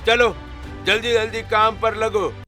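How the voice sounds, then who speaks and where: A man's voice: high voice, level tone, unhurried, sharp tone, faint music behind, pitched above the performer's own voice. A man voicing an angry, scolding landlord who bullies his labourers in a cartoon story. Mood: angry, shouting. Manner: scolding, barking.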